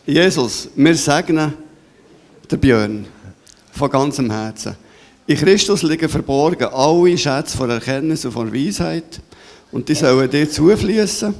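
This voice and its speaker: A man with a Swiss German accent leading a church service, interviewing a guest in a chatty, halting way and praying. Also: on the high side, expressive, a little mellow. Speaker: pastor.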